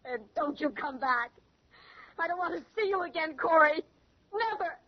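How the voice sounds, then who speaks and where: A woman's voice: extremely high pitch, animated, moderate pace. An actress playing a proud, emotional young woman in a radio drama, indignant and theatrical. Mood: frantic. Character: proud, defiant, distressed young engaged woman. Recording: dull vintage radio recording.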